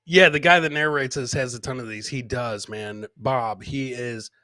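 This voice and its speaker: A man's voice: medium pitch, moderate pace, bright, forceful tone, no room sound. A man hosting a comedy podcast, chatting casually and bantering with viewers in informal, profane language. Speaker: podcast host. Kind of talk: casual comedic livestream chat.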